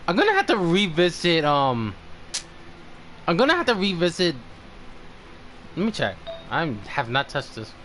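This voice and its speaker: Male game streamer, notably high voice, halting and conversational, slight noise behind him. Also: huge pitch swings, a bit bright. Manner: halting, thinking aloud. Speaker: game livestream host. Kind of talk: casual livestream chat.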